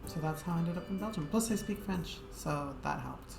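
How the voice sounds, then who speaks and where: Woman streamer, very low voice, rambling casual chat, echoey room, game music behind. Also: fairly quick, creaky. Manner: rambling, hesitant, with many fillers.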